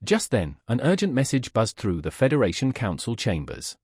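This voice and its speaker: Animated male sci-fi story narrator. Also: medium pitch, moderate pace, breathy edge, no room sound, clean studio sound. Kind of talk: scripted science fiction narration, wry.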